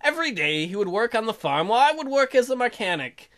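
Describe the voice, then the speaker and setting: A man's voice: very high-pitched, sing-song, fast talker, fairly bright tone, exaggerated voice. A man voicing a touchy, defensive young newlywed wife in a visual novel, whiny and indignant. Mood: wistful. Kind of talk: exaggerated visual novel dialogue reading.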